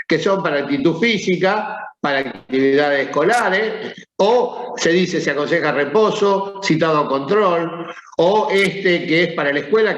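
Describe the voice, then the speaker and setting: Male teacher, Rioplatense accent, higher voice, chatty and questioning, echoey classroom, muffled recording. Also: a bit bright. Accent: Rioplatense Spanish. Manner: conversational, asks the class questions. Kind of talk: classroom lecture. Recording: echoey room, dull sound.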